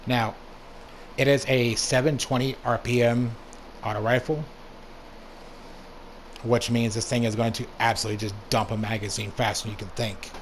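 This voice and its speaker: A young man with a hoarse voice from allergies, casually talking through a game review as the channel's host. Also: mid-range voice, flat delivery, moderate pace, pauses often, slight background noise. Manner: laid-back, plain-spoken, rambling asides. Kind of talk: casual gameplay commentary.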